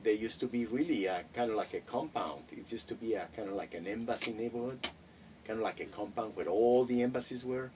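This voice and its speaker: Middle-aged man, Spanish first language, low voice heard from across the room, thoughtful and full of restarts. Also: fairly quick. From Mexico. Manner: reflective, many hesitations and restarts. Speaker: interviewee. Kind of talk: casual interview. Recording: distant mic with faint noise.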